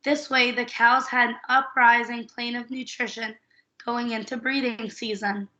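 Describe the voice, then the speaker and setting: A woman's voice: fairly high pitch, a little slow. A young woman from Saskatchewan, a student nutrition coordinator, reading a prepared class presentation steadily and carefully. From Saskatchewan, Canada. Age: young adult. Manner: steady, reads figures carefully. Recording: dull lo-fi recording.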